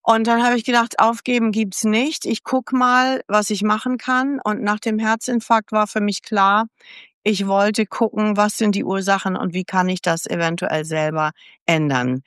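A woman around seventy, born in the United States, tells her life story in a relaxed interview. Her voice is smooth and resonant at a mid pitch. The recording is close and dry, with no room sound. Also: normal speed, dry recording. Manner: candid, chatty storyteller who acts out past dialogue. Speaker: podcast guest.

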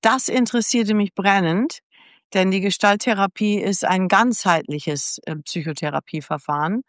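A woman around seventy, born in the United States, tells her life story in a relaxed interview. Her voice is smooth and resonant at a mid pitch. The recording is close and dry, with no room sound. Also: moderate pace, no room sound. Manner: candid, chatty storyteller who acts out past dialogue. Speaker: podcast guest.

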